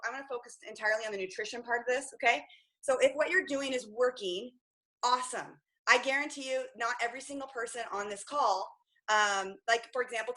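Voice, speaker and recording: Upbeat, bright-voiced woman coach. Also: a little high, poor audio. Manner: energetic, upbeat, keeps checking in with "okay?". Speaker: nutrition coach. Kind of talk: coaching talk on a group call.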